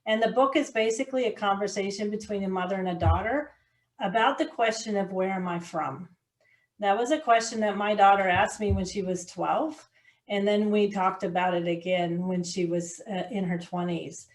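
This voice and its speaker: A woman's voice: medium pitch, moderate pace, rough recording. Earnest New woman, podcast guest. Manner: earnest, heartfelt, talks in restarts. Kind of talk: casual interview conversation.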